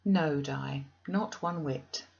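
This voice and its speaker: A woman reader playing an earnest, resolute young woman in a classic novel, measured and formal. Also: low-pitched, vocal fry, a little mellow, dull audio, full character voice. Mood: subdued, resolute.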